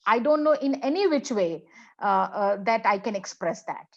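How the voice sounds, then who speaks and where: A woman with an Indian English accent, whose first language is Hindi, speaking as a conference speaker in a reflective, halting way full of fillers and restarts. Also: a little high, lively intonation, creaky, darkish tone, lo-fi sound. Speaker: plenary speaker, English professor. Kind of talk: conference talk answering a question.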